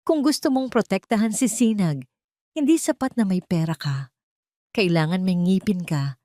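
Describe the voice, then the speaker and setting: A woman voicing an old, wealthy father, gentle yet authoritative, in an audio drama. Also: medium pitch, normal speed, no room sound, studio quality, natural voice. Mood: firm, urging. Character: tender, commanding old billionaire father, protective.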